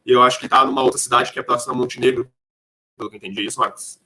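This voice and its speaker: A man's voice: medium pitch, fairly even intonation, rapid-fire, pushed and bright. A man hosting a casual podcast interview, talking in a rambling, circling way.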